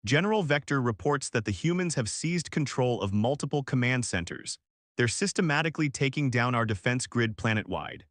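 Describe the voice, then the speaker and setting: A man's voice: mid-range voice, lively intonation, brisk, fairly bright tone, no echo, natural voice. An alien communications officer, read by a male narrator, gives clipped and urgent military reports. The sound is very dry and close, like an audiobook.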